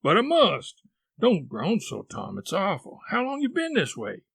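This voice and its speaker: Male reader as gullible young boy, high voice, pleading, alarmed, storybook character, dry sound. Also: wildly swooping pitch, measured pace, vocal fry, a bit bright, slightly rough, dry recording, heavily acted. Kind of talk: audiobook character reading.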